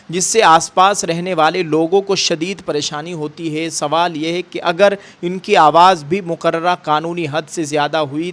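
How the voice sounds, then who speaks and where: A man's voice: fairly high pitch, a bit bright. A man presenting scripted news commentary to viewers in a formal, emphatic manner, often posing rhetorical questions.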